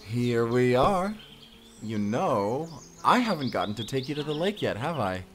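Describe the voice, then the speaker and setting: Man acting a slow, tender romantic partner. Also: medium pitch, sing-song, a little hiss or hum. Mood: warm. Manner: soft, tender, hesitant. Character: gentle, affectionate father and tender romantic partner. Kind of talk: intimate audio roleplay acting.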